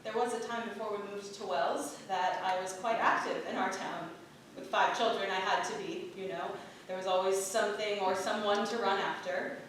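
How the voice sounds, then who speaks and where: Actress as frail Puritan wife, polite and quietly shrewd, period stage play, very echoey, noisy recording. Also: female voice, medium pitch, steady tone, moderate pace, close to an everyday voice. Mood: polite, candid. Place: theatre stage, picked up from far off. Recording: very roomy, distant, noisy theatre recording.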